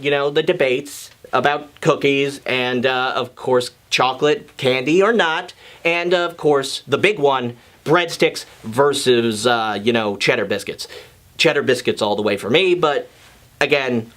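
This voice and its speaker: A man's voice: mid-range voice, fairly bright tone. Casual, rambling man addressing his viewers. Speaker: host of a video channel.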